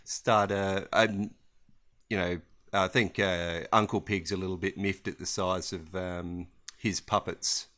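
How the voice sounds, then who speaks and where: A man's voice: low-pitched, flat delivery, a little slow, fairly bright tone. A man co-hosting a casual podcast, hesitant with many ums and a dry wit. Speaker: podcast co-host. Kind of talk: casual chat.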